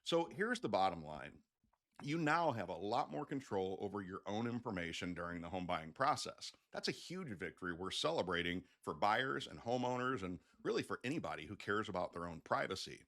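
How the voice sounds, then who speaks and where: Man, lowish voice, conversational, folksy, casual explainer video host. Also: sing-song, a little fast, a bit bright. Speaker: mortgage loan officer hosting an update video.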